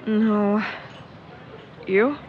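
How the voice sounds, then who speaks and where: A woman's voice: medium pitch, extremely slow, narrow, tinny audio. An actress playing a reluctant, nervous and wryly grumbling young woman in a naturalistic audio drama. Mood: glum, casual. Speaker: actor in a supernatural mystery audio drama.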